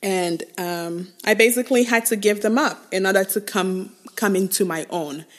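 A young woman from West Africa talking alone about her life, halting and thoughtful, often restarting her phrases. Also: medium pitch. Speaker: podcast host. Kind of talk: reflective personal monologue.

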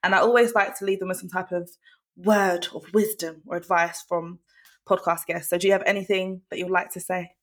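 A woman's voice: fairly low pitch, fairly even intonation, brisk. A woman hosting a podcast who thanks her guest and asks questions, with frequent fillers and affirming murmurs. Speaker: podcast host. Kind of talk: interview hosting.

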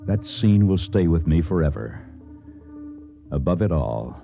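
A man's voice: sits low, lively intonation, a little slow, gravelly, mellow, muffled recording, soft background music, heavily acted. An actor playing a weary male archaeologist in a radio drama, recounting a tragic dig. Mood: solemn, haunted. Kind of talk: radio drama acting with first-person narration.

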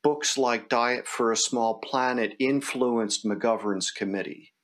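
A man speaking conversationally and reflectively, thinking aloud in a halting way as he puts questions to a guest. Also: mid-range voice, a bit bright.

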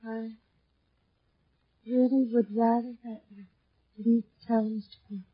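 An actress playing a frail, weary, ailing wife, halting and formal, in 1950s radio drama style. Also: female voice, fairly high pitch, breathy edge. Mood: weary, guarded. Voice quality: weak, flat. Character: frail, weary, gray-haired ailing wife, politely guarded. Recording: muffled old radio recording.

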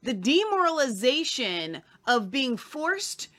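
Bright high woman ranting on a news show, voice swings all over. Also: very animated, takes it slowly. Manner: emphatic, asks repeated questions. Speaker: co-host. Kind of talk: opinionated casual discussion.

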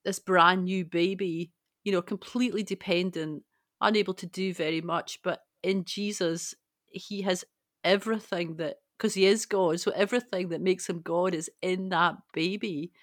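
A woman's voice: on the low side, steady tone, moderate pace, no room sound, studio quality. A woman co-hosting a conversational, reflective Bible discussion with a personal touch. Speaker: podcast co-host.

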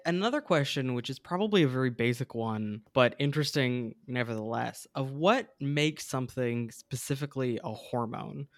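A man's voice: medium pitch, moderate pace, hardly any pauses, no room sound. A man hosts an interview podcast with a mid-range voice, recorded dry and close. He introduces guests from a script, then chats casually and makes self-deprecating jokes. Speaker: podcast host, entomologist.

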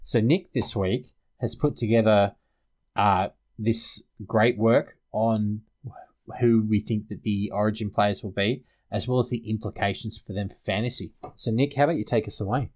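A man's voice: fairly low pitch, steady tone, moderate pace, darkish tone. An Australian man co-hosting a sports podcast in an informal, conversational style. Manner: informal, conversational, addresses co-hosts as guys. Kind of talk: casual fantasy rugby league chat.

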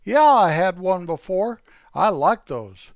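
A wide-eyed young boy, easily impressed and tagging along, squeaking high in a spoken tale, as a man plays him. The voice is smooth and dry, and he says his pal's name on almost every line. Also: sing-song, takes it slowly, no echo, heavily acted. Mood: curious.

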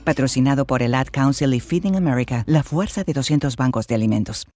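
A man narrating a public service announcement in a measured, scripted read. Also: mid-range voice, darkish tone, no echo, faint music behind.

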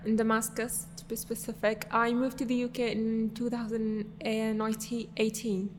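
A woman's voice: a little high, level tone, unhurried, in a large hall, slight background noise. A young woman from Syria, a podcast guest, answering casually with many fillers and restarts.

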